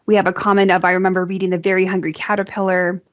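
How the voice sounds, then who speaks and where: A woman's voice: lowish voice, flat delivery, racing. A woman co-hosting a webinar, casually relaying comments from participants. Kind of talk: casual reading out of audience comments. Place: remote online video call. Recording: slightly dull online call audio.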